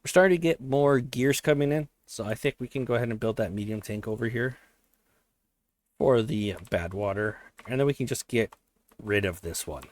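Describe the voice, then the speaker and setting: A man thinking aloud while he plays a strategy game, his voice a little hoarse, often correcting himself as he goes. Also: mid-range voice, moderate pace. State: voice slightly hoarse. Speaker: let's play host. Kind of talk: casual gameplay commentary.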